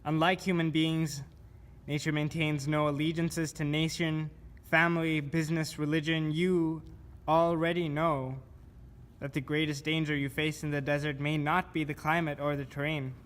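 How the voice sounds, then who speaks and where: A man giving a scripted spoken-word reading of poetic survival instructions in a measured, deliberate manner. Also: on the high side, flat delivery, a bit bright. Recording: clear background noise.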